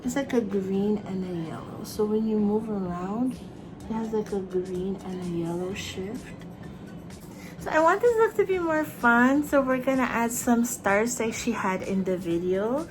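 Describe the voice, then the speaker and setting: Slow-talking Filipino woman vlogger. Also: mid-range voice, expressive, over music, rough recording. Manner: chatty, bubbly, talks while applying makeup. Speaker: makeup vlogger. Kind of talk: casual makeup tutorial narration. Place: small bedroom with fairy lights.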